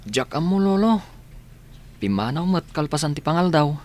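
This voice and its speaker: An actor playing a polite, affectionate young grandson with an Ilocano accent in a radio drama. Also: male voice, on the high side, very animated, moderate pace, a little mellow. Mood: respectful.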